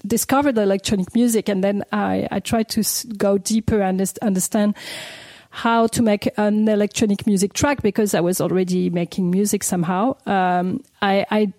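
A mellow, warm-toned woman talks through her answers in a relaxed interview. She often restarts her sentences and laughs lightly as she searches for words. The sound is dry and close, with no room around her. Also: medium pitch, moderate pace, no echo. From France (Paris). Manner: hesitant, many restarts, laughs between phrases. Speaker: guest, DJ and producer.

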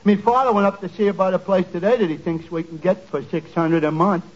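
An actor playing a hapless, dim-witted barfly, earnestly complaining in a doltish, hesitant way in 1940s radio comedy. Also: male voice, sits high, steady tone, quick pace, natural voice. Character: dim-witted, hapless working-class barfly, sincere and slow. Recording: muffled, noisy 1940s radio recording.

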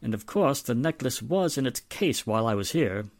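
An actor playing a middle-aged, polite and hesitant wealthy father with a measured manner in a 1940s-style radio drama. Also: medium pitch, expressive, no echo, studio quality, lightly acted. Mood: hesitant, insinuating.

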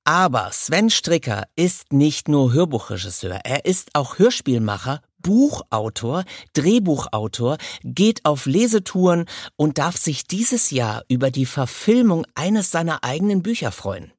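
A man's voice: mid-range voice, vocal fry, no echo, studio quality. A man hosting a podcast, giving prepared introductions and interviewing in a conversational way.

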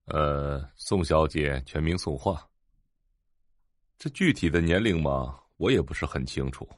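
An actor playing an excitable, admiring middle-aged husband and father, exclaiming earnestly. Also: a bass voice, a little slow, no room sound, studio quality.

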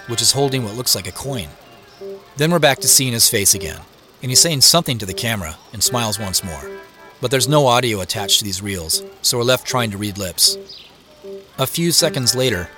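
A man's voice: medium pitch, natural voice. An actor playing a wry, guarded young businessman who narrates his own story in an audio drama. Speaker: narrator and lead character. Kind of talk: audio drama first-person narration and dialogue.

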